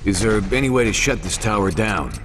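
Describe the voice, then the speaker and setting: Deep-voiced terse soldier. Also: male voice, animated, fairly quick, a little hiss or hum. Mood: stoic, curt. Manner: terse, stoic. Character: terse, tough male soldier, stoic and low-voiced. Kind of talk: video game voice acting. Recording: game audio under loud music.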